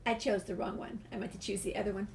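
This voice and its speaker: A woman's voice: mid-range voice, fairly even intonation, fast talker, darkish tone. A woman playing through a video game and reading the player's dialogue aloud. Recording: clear background noise, game ambience.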